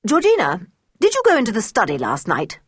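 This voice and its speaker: A woman's voice: mid-range voice, huge pitch swings, a little fast, husky, no room sound, studio quality. A woman voicing a stern, suspicious male tutor in a storybook reading, with clipped, reproving speech.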